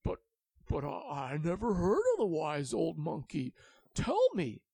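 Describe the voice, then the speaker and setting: Brief: storyteller as a puzzled gentle dragon, earnest, raspy, swinging in pitch. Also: wildly swooping pitch, measured pace, no room sound, heavily acted. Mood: eager. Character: big gentle bewildered male dragon. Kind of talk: children's storybook reading.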